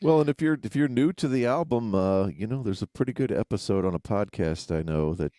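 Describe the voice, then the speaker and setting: A man co-hosts a podcast in an easygoing, laid-back way. His voice is deep and mellow. The recording is close and dry. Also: animated, fairly quick, no room sound. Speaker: podcast co-host. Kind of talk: casual podcast chat.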